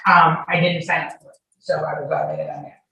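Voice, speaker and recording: A woman's voice: low voice, little pitch movement, fairly quick, sharp tone. A woman testifying as a witness in a courtroom in an informal, rambling, colloquial way. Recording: echoey courtroom, distant mic, faint noise.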